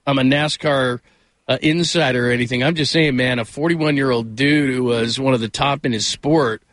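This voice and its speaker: A man's voice: medium pitch, steady tone, moderate pace, a bit bright, no echo. A man hosting a casual sports talk radio show, rambling conversationally through stories with self-deprecating asides. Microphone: close studio microphone.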